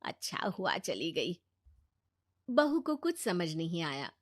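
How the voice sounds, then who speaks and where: A woman's voice: fairly low pitch, very animated, slow pace, no room sound, clean studio sound. A woman narrator reading a scripted cartoon bedtime story.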